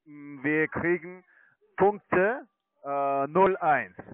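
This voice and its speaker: A man's voice: fairly high pitch, extremely slow, no room sound. A man working as a telephone sales agent, speaking hesitantly in broken phrasing as a non-native speaker. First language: not German. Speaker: telemarketing caller, online trading account manager. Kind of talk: phone sales pitch. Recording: tinny narrowband phone line.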